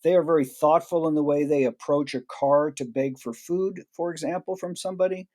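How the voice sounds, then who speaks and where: A man speaks as a guest in an interview, explaining his ideas reflectively and often restarting phrases. His voice is a touch high for a man, with a warm, mellow tone. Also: fairly even intonation, a little fast. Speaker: guest expert. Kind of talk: interview answers.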